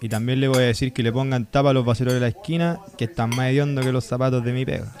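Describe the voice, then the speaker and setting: A man's voice: mid-range voice, fairly even intonation, very fast, a little mellow, natural voice. A Chilean man acting an easygoing, mildly grumbling working-class husband in a colloquial radio drama. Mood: resigned, agreeable.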